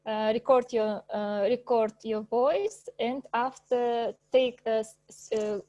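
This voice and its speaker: A woman whose first language is Russian gives an informal presentation over a video call, pausing often with fillers and restarts. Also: a little high, dark tone, no room sound. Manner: hesitant, many fillers and restarts, laughs often. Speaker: presenter at an English club.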